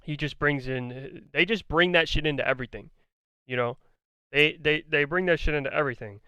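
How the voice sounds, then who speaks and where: A man giving blunt, argumentative, profane commentary, repeating his points, his voice going hoarse. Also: fairly high pitch, a little fast, sharp tone, dry recording, highs cut off. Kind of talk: ranting commentary on a debate.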